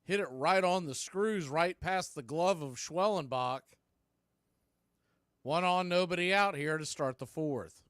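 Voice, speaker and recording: A man calling live baseball play-by-play in an excitable, folksy radio style. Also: a little high, measured pace, clear, resonant tone, no echo, studio quality. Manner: excitable, folksy exclamations on big plays.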